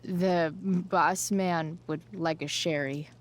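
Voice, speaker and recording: A rebellious American teenage girl talks in a lowish, unhurried voice. She is dry, cheeky and guarded, tossing out slangy sarcasm in a naturalistic game drama. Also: steady tone. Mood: wry, joking. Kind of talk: naturalistic video game acting.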